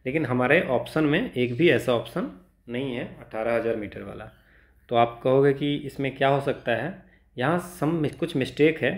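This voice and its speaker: An Indian man teaching exam problems step by step in a halting tutorial style, mixing Hindi and English and often adding small check-in tags. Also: medium pitch.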